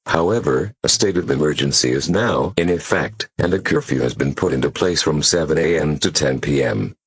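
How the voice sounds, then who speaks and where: A man reads a formal scripted government emergency bulletin as an announcer, in a measured, impersonal manner. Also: rumbling low, breathy edge, no echo.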